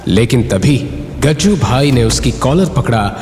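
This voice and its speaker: A man's voice: mid-range voice, animated, unhurried, darkish tone, a little hiss or hum. A man giving dramatic, scripted narration of an audio story. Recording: reverberant echo with music bed. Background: music.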